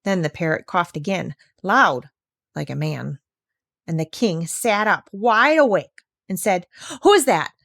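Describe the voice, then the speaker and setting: A woman narrating a children's story aloud in an expressive, scripted storytelling style. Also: fairly low pitch, measured pace, vocal fry, no room sound, clean studio sound.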